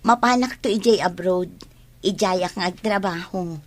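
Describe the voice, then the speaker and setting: A woman's voice: fairly low pitch, moderate pace, lightly acted. A young woman with a Filipino accent playing a hopeful, chatty student near graduation in a radio drama. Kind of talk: Ilocano radio drama acting.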